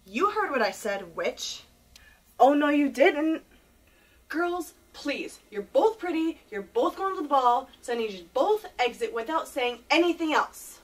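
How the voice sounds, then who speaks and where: Casting: wicked fairy-tale stepfamily diva, mean and mock-sweet, high and swooping, comic stage style. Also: female voice, sing-song, unhurried, distant mic, rough recording. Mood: bickering. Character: catty, preening wicked stepfamily women of Cinderella.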